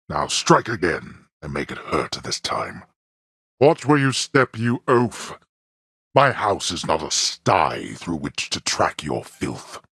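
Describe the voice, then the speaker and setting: An actor playing a stern, contemptuous god-king of the underworld in a video game, haughty and commanding, with a deep, husky voice. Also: a bit bright, dry recording, studio quality, exaggerated voice.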